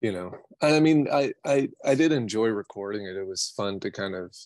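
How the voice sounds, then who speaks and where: A man's voice: lowish voice, moderate pace, darkish tone, dry recording. An American man from New York City, a singer-songwriter chatting casually in an interview in a rambling, slangy, self-deprecating way. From New York City, United States.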